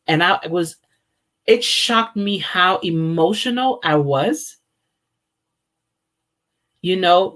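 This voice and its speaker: A woman in her forties, born in Haiti and raised in America, giving an earnest, reflective faith talk with frequent ums and restarts. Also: low voice. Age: 40s. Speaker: speaker opening a teaching series. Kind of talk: informal sermon-style teaching.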